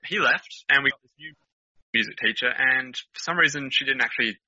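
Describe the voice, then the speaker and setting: A man's voice: medium pitch, brisk, sharp tone, slightly rough, no room sound, highs cut off. A man with an Australian accent, a podcast guest chatting informally and telling stories in a casual way. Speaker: podcast guest, counsellor and motivational speaker. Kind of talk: casual storytelling chat.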